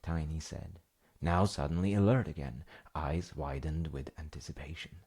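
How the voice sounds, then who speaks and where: A man narrating a bedtime story in a gentle, hushed and soothing manner. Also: a bass voice, fairly even intonation, normal speed, slightly rough. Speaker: storytelling dad character.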